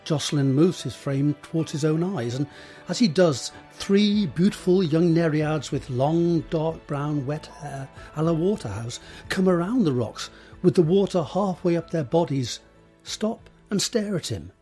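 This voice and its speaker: A man reading scripted scene directions as a narrator, measured and descriptive, with deliberate pauses. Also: on the high side, warm tone, soft background music.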